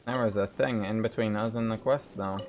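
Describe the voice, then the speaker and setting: Male streamer, fairly low register, casual play-by-play with easy swearing, game music behind. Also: fairly even intonation, a little fast, a little mellow. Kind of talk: casual gameplay commentary.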